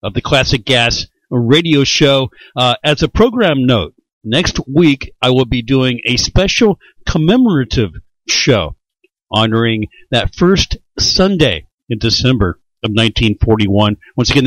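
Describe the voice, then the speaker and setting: A man's voice: mid-range voice, expressive, measured pace, fairly bright tone, no echo, low-quality recording. A man hosting a classic radio podcast, reading a scripted show sign-off.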